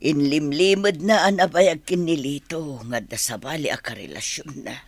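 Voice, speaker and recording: A woman playing a worried, nagging middle-aged mother in a radio drama, with a husky voice and a Filipino accent. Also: deep voice, normal speed, no echo.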